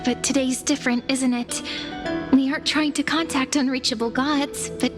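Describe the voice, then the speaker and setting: A woman playing a gentle, dreamy young woman in a video game, confiding softly and wistfully. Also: sits high, steady tone, moderate pace, lightly acted. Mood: wistful, hopeful. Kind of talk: scripted video game dialogue. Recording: music under the voice.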